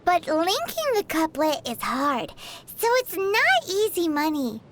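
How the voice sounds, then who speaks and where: A tiny floating creature from a game, a cheeky and teasing companion. Her voice sits extremely high and swings widely as she chatters, stretching her words out slowly. A faint background hiss is present. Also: wildly swooping pitch, fairly bright tone, full character voice. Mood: chatty. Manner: bubbly, teasing, refers to herself by name. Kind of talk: video game voice acting.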